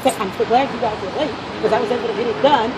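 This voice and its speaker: A woman's voice: fairly high pitch, rapid-fire. An American woman, a local resident, arguing and negotiating insistently on the street, often repeating herself and interrupting. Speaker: local resident confronting a protester. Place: outdoors on a city street beside traffic. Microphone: handheld phone camera. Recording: noisy outdoor street, phone recording.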